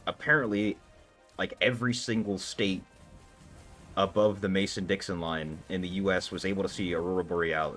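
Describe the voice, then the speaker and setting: A man's voice: sits low, a bit bright. A man streaming live and casually chatting with his viewers, loose and talkative, with lots of filler words. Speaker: livestream gamer. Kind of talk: casual livestream chat answering viewers. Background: faint background music.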